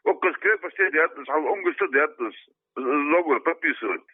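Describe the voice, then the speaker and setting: A man in an informal phone discussion, answering haltingly and repeating his phrases. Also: on the high side, animated, breathy edge, dry recording. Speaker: phone interviewee answering questions. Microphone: telephone. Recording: dry, narrow telephone line.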